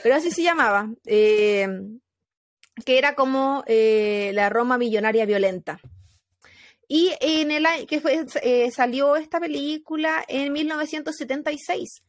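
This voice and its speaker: Woman, Chilean accent, casual storytelling, animated, fillers, dry recording. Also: high-pitched, measured pace, no room sound. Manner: animated, laughs, many fillers. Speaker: podcast host telling a true crime case.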